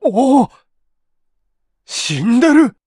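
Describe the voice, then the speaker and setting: A man's voice: very high-pitched, big pitch swings, extremely slow, a little mellow, breathy edge, dry recording, studio quality, voice pushed up, heavily acted. A man playing an earnest, urgent male lodger in a dramatized reading of a mystery story. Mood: shocked.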